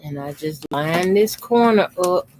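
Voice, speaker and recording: A man in his fifties, a quilting channel host, calmly talking through a how-to demonstration at his sewing machine with his voice deliberately kept low. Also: a little hiss or hum. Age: 50s. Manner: talks unhurriedly while sewing, deliberately keeping his voice lowered.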